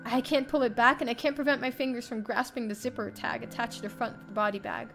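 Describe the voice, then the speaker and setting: High-voiced young woman reading dramatically, music behind. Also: quick pace, creaky. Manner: expressive, acted-out reading. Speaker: streamer reading a visual novel aloud. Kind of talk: dramatic first-person narration. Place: indoor room, livestream setup.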